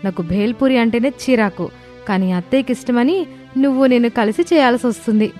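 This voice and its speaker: A woman playing a sensible, patient elder daughter-in-law in an animated story, reasoning and placating. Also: a little high, fast talker, close to an everyday voice. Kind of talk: animated moral story dialogue. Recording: voice over prominent music bed.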